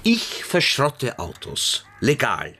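A shifty car scrapper in a radio drama, pitch all over the place, short guarded answers, bit of noise. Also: male voice, huge pitch swings, slow, pushed and bright, exaggerated voice. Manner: guarded, terse, feigning ignorance. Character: wary, defiant male car scrapper with something to hide. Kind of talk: radio play acting.

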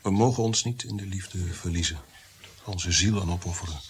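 An actor in a radio play playing a tormented, bitter, God-fearing prince-composer, brooding and theatrical, sometimes sinking to whispers. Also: male voice, sits low, moderate pace, a little hiss or hum, natural voice. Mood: bitter, fervent.

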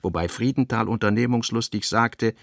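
A man with speech reads literary narration for a radio play in a measured, articulate manner. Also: lowish voice, creaky, no echo, clean studio sound.

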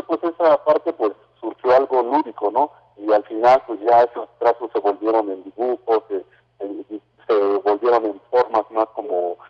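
A man's voice: on the low side, big-room sound, dull audio. A man from Oaxaca whose first language is Mazatec, talking as a guest over the phone, hesitant with many fillers. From Oaxaca, Mexico. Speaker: guest, visual artist. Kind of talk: phone interview. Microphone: telephone.

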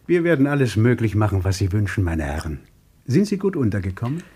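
A man's voice: on the low side, sing-song, quick pace, warm tone, slightly rough. An actor playing a courteous, evasive Soviet travel official in his thirties in a radio play. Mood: obliging. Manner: courteous, hesitant, noncommittal.

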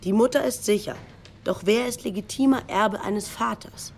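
A woman's voice: medium pitch, moderate pace, gravelly, slight background noise. A woman narrating a radio feature with scripted, pensive, literary commentary.

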